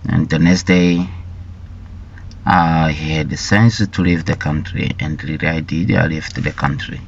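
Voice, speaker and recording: A man from Rwanda speaks slowly in a very low voice, telling his personal story with halting, deliberate phrasing and repeated words. The recording sounds dull and lo-fi. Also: steady tone, gravelly, slight background noise. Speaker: vlogger sharing his life story.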